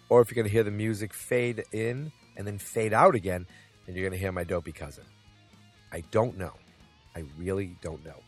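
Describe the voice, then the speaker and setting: A man's voice: low-pitched, husky. A man hosting his own podcast, rambling through picks with jokes, asides and lots of filler words. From New England. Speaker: podcast host. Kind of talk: casual solo wrestling podcast. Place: Boston.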